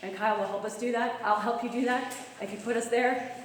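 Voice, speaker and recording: A young woman with a Long Island accent, a campaign manager speaking fast and earnestly at a club meeting while losing her voice. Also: on the high side, steady tone, a little hiss or hum. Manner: fast-talking, earnest appeal. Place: meeting room. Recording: echoey hall from far off.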